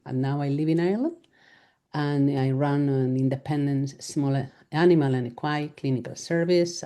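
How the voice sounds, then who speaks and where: Spanish-accented woman, low mellow voice. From Spain. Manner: hesitant, many fillers and restarts, laughs lightly. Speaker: veterinarian giving a lecture. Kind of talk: live lecture.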